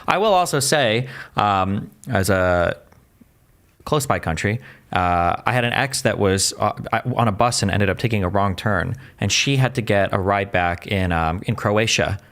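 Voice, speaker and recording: A man co-hosting a casual chat, talking quickly with lots of fillers as he tells an anecdote. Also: on the low side, lively intonation, fairly bright tone. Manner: fast, chatty, filler-heavy. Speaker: podcast co-host.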